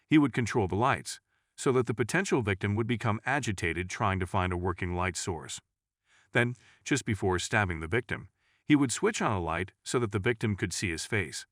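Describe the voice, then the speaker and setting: Low-pitched man narrating, lively intonation. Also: no room sound, studio quality. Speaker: narrator of scary stories. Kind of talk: scripted first-person horror story narration.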